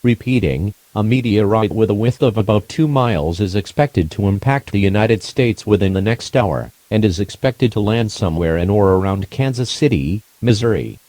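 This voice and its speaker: A man reading a scripted emergency broadcast announcement in a formal, measured, impersonal way. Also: on the low side, lively intonation, warm tone, no echo.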